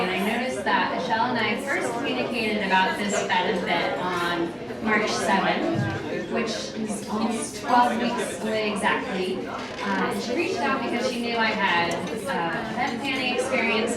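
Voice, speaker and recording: A woman's voice: mid-range voice, a little slow. A woman giving an informal speech to an audience as a guest speaker at a fundraiser. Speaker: guest speaker, nonprofit consultant. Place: large event room with audience. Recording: echoey hall, distant mic, loud crowd.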